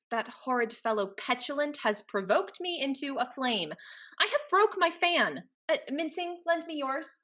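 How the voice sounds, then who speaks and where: A woman's voice: sits high, sing-song, a little slow, a bit bright, heavily acted. A woman playing a spirited, fashionable young heiress in a Restoration comedy, haughty, witty and affected. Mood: indignant. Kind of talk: theatrical Restoration comedy acting.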